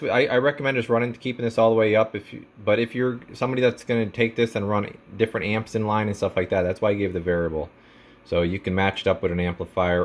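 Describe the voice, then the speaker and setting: Male technician, lowish pitch, informal step-by-step product demo, jargon-heavy, conversational. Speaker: radio technician presenting a radio for sale. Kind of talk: casual product demonstration.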